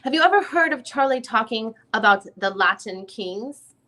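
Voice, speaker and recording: A woman's voice: on the high side, animated, normal speed, bright, forceful tone. A woman reading the part of a lawyer questioning a witness at a deposition in a formal, methodical way. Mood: formal, probing. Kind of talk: dramatic reading of a legal transcript.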